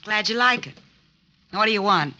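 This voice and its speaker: A hard-boiled blonde gun moll. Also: female voice, medium pitch, expressive, fairly quick, pushed and bright, dull audio. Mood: guarded. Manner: hard-boiled, sulky then pleading. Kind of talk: 1940s radio acting. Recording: old radio recording with faint hiss.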